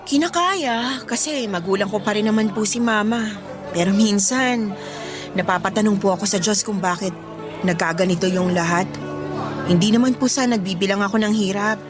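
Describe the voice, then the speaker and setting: Woman playing young Filipina, respectful, burdened, sentimental, medium pitch, radio drama, prominent background music. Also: moderate pace, close to an everyday voice. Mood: weary, sorrowful. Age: young adult.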